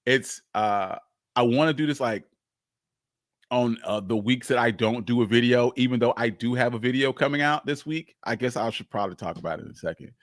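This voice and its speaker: A man hosting a casual, unscripted live history stream, speaking candidly in a halting, rambling way. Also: medium pitch, dry recording, clean studio sound. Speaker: livestream host, history storyteller.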